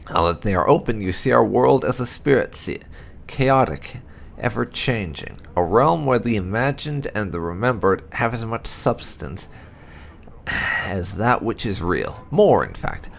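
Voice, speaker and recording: A man narrating fantasy game lore aloud, with deliberate, dramatic emphasis as a video host. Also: lowish voice, expressive, vocal fry.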